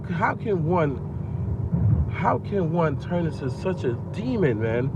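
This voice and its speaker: A man in his forties from Long Island, New York, vlogging from his car at night, talking in a loose, repetitive way full of slang and fillers, his voice groggy. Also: high-pitched. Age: 40s. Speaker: rapper and vlogger. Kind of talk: casual talking-to-camera rant. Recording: dull lo-fi phone audio with car noise.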